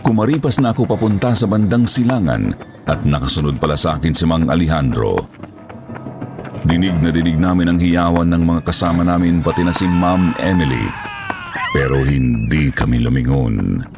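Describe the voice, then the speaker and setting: A man narrates a horror story in the first person. His voice is extremely deep and heavily creaky, with dramatic scripted delivery over soft background music. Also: normal speed.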